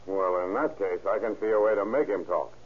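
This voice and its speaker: An actor playing a hard-nosed, accusing police detective, clipped and insistent, in an old radio drama. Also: male voice, low-pitched, fairly quick, natural voice. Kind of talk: 1930s-40s radio acting. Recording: muffled, noisy old radio recording.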